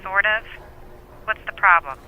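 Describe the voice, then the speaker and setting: A woman's voice: medium pitch, unhurried, narrow, tinny audio, a little hiss or hum, natural voice. A woman playing a suspicious, curt store clerk with a sardonic edge in an audio drama. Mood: suspicious, annoyed. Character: brisk female store clerk, suspicious and snappy. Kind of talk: radio drama acting.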